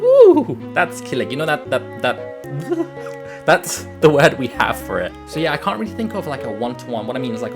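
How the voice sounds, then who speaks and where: A young British man, a native English speaker who mixes in Tagalog, explaining a word in a jokey, animated vlog. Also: medium pitch. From United Kingdom. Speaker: advice vlogger. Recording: clear music bed behind voice.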